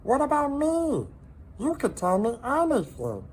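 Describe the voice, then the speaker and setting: A small, loyal salamander from a children's storybook, acted out by a reader. He is gloomy and world-weary, speaking high above normal talk with a dramatic, know-it-all air and swooping pitch. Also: wildly swooping pitch, unhurried, darkish tone, slight background noise, full character voice. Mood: earnest, sympathetic.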